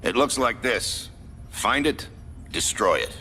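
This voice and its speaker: Forceful military commander. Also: male voice, medium pitch, very animated, takes it slowly, a little hiss or hum, exaggerated voice. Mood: authoritative. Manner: formal, crisp, commanding. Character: stern, authoritative male military commander. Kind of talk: video game mission briefing.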